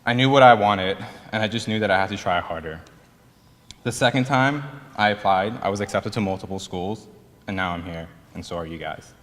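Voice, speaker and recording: A man's voice: on the low side, brisk, a bit bright. A young man in his twenties with a Persian accent, the senior class president, telling his story in a slightly nervous, self-deprecating speech. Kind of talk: ceremony speech. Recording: reverberant hall.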